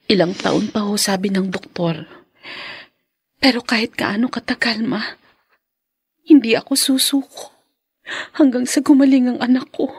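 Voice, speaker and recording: A woman's voice: a little high, normal speed, pauses often, breathy edge. An actress playing a weary, devoted young mother and neglected wife in an emotional, pleading Filipino radio drama style. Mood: weary, resolute.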